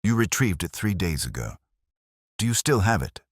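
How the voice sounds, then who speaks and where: Man voicing a deep, measured Victorian detective. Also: big pitch swings, no room sound, clean studio sound. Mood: courteous, probing. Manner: measured, formal, probing. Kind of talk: audiobook character dialogue.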